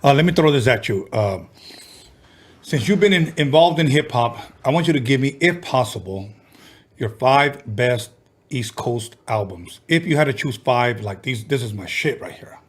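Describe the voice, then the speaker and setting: A man's voice: mid-range voice, lively intonation, normal speed. A man hosting a casual interview, laid-back, giving short affirmations and asking long, rambling questions. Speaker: podcast host.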